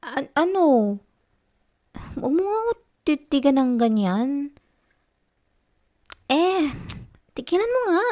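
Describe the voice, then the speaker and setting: A woman playing a needy, affectionate wife in an intimate ASMR roleplay, with a Filipino accent and a soft, coaxing, pouty delivery. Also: high-pitched. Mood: pouty, flustered. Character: needy, affectionate wife, sulky yet tender and teasing.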